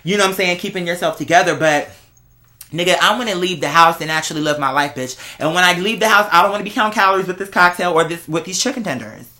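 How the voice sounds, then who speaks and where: Sassy young american guy, campy, bright forceful voice, just rambling casually like chatting to a friend. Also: high voice, fast. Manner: sassy, campy slang, often addressing listener as girl. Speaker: online show host.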